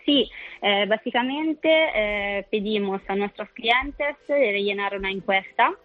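A woman's voice: medium pitch, unhurried, dry recording. A woman from Italy speaking Spanish with an Italian accent, a company founder being interviewed over the phone, hesitant, with many fillers and restarts. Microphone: phone line. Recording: tinny phone line under music.

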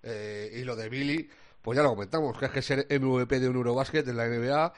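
Man, Spain accent, basketball commentator, mid-pitched voice, colloquial, halting delivery, thinking aloud. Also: fairly even intonation. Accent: Spanish from Spain. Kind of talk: casual sports discussion.

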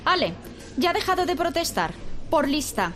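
An actress playing a grumpy shopper who grumbles indignantly about robots. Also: female voice, sits high, very animated, normal speed, slight background noise. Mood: grumbling. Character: grumpy complaining female shopper, adult. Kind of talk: radio drama acting.

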